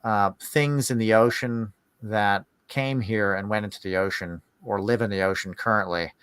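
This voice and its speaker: A man hosting a podcast in casual, rambling talk, musing aloud in halting phrases. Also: on the low side, steady tone, fairly bright tone, clean tone, no echo. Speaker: podcast host.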